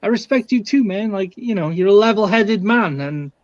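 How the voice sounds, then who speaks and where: A man who grew up in Wales, calling in by phone to a fan stream, chatting in a friendly, halting way and repeating his words. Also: high-pitched, lively intonation, no room sound, lo-fi sound. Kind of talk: casual chat.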